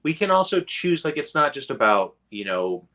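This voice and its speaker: A man's voice: fairly high pitch, very animated, normal speed, sharp tone. A man teaching meditation in a casual, rambling way, full of fillers and easy laughter.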